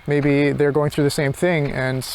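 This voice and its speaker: A man's voice: fairly high pitch, little pitch movement, a little slow, a little mellow, slight background noise. A man thinking aloud in an unscripted reflective monologue, hesitant and searching, often circling back over his phrases. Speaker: philosophy vlogger.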